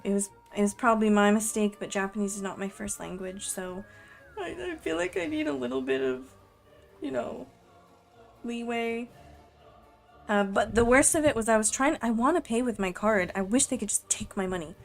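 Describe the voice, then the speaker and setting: A woman's voice: a little high, normal speed, vocal fry, faint music behind. A young woman chatting casually during a live art stream at home, rambling and self-deprecating as she sets up. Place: home room in Japan.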